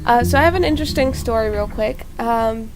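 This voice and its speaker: A woman's voice: on the high side, a little fast, poor audio. A young woman with a sore, congested throat, rambling casually as she comments on a game she is playing. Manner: rambling, chatty, with fillers. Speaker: gaming YouTuber. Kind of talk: casual let's-play commentary. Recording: loud game music behind.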